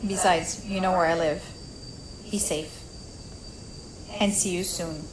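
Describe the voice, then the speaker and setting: A woman game storyteller voicing a composed, caring woman who deals in the occult, speaking gently and reassuringly. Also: fairly low pitch, fairly even intonation, takes it slowly, rough recording. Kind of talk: tabletop role-play acting. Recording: faint noise with cricket ambience.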